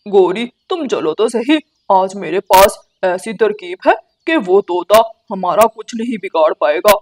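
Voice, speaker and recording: A woman plays a male crow trickster in a children's cartoon. He is boastful and scheming, with a mid-pitched voice and a sly, taunting delivery that needles whoever he talks to. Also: animated, measured pace. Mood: sly, coaxing. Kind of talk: Hindi children's cartoon dialogue.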